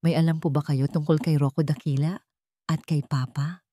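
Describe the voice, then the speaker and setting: A woman's voice: low-pitched, fairly even intonation, moderate pace, dark tone, no echo, clean studio sound. Woman acting a betrayed, determined wife. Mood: earnest. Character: betrayed young wife, hurt but defiantly determined.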